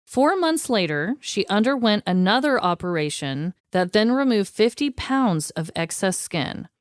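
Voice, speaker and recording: An American woman talks as a podcast co-host with a lively, moving pitch. She tells things informally and conversationally, in a dry recording with little room sound. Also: unhurried, no echo. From United States. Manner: chatty, informal. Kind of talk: casual conversational storytelling.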